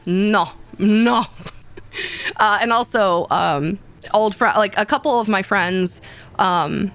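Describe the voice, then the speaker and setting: A woman's voice: mid-range voice, slow, fairly bright tone. A young woman streaming casually, rambling and teasing herself, with many fillers and laughs. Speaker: VTuber streamer.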